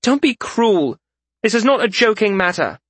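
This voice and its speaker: A man's voice: sits high, big pitch swings, moderate pace, fairly bright tone, breathy edge, no echo, pitched above the performer's own voice, heavily acted. A male narrator voicing Frisha, an earnest young woman who pleads and scolds. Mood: pleading. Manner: pleading, reproachful.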